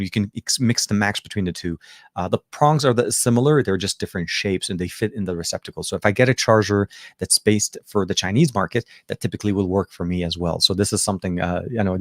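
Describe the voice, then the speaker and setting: A man's voice: fairly low pitch, no echo, clean studio sound. Friendly, rambling Lebanese-born man. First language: Arabic. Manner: rambling, many fillers and restarts, friendly. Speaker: tech show host. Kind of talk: casual livestream chat reading viewer comments. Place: home.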